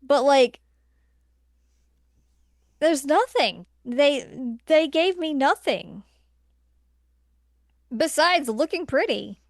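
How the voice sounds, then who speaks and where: A woman's voice: high-pitched, expressive, extremely slow, creaky, pauses often, no echo, studio quality. A woman co-hosting a podcast in casual, informal conversation, emphasizing points by repeating herself. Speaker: podcast co-host. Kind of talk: casual chat, film ranking discussion.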